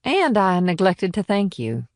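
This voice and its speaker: A woman's voice: medium pitch, huge pitch swings, unhurried, dry recording, studio quality. A woman playing a tough, blunt, sardonic small-town policewoman in an audiobook drama. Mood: grateful. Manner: blunt, direct.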